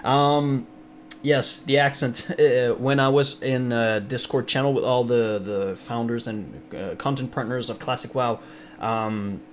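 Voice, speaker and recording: A man's voice: medium pitch, fairly even intonation, a little slow, slight background noise. A young man with a French Canadian accent, a streamer from Ontario whose first language is French, chatting casually with viewers in a rambling way full of fillers. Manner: rambling, many fillers and restarts, reads chat aloud. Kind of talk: casual live-stream chat.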